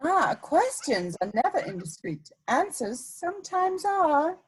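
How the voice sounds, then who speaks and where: A woman's voice: high-pitched, sing-song, unhurried, rough recording. A woman playing a witty, scheming society lady in a period stage comedy, speaking in an arch, coy, polished way. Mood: coy, teasing.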